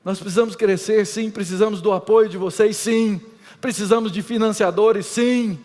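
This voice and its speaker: A middle-aged Brazilian man with a Brazilian Portuguese accent, preaching an emphatic lecture-style sermon that swings between loud and hushed. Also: high-pitched, fairly even intonation, normal speed. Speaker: pastor. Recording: reverberant hall.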